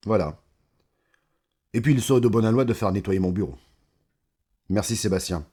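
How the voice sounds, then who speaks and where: Audio drama villain: an ageing corrupt boss, deep-pitched, falsely polite, condescending and cold. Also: male voice, expressive, warm tone, slightly rough. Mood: polite, businesslike. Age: older. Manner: measured, sardonic, condescending. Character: older corrupt mayor and crime boss, coldly menacing, falsely courteous.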